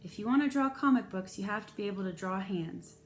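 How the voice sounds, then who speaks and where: A woman's voice: mid-range voice, lively intonation, fairly quick, close to an everyday voice. A woman voicing a shy schoolboy with a joking streak in a children's novel read-aloud. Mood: casual. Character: shy, joking schoolboy who likes drawing. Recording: clear background noise.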